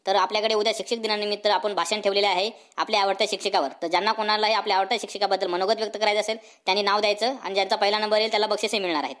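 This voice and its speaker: Man doing a very rapid-fire strict village schoolmaster. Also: high-pitched, little pitch movement, fairly bright tone. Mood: brisk, official. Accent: rural Marathi. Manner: stern, scolding, threatening. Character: strict, short-tempered village male schoolteacher. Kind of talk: comic cartoon dialogue.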